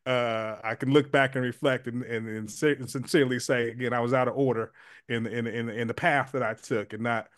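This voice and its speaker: A man's voice: mid-range voice, fairly even intonation, fairly quick, fairly bright tone, no room sound, clean studio sound. A man in his forties, a community leader, answering as an interview guest in an earnest, reflective way with many fillers and restarts. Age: 40s.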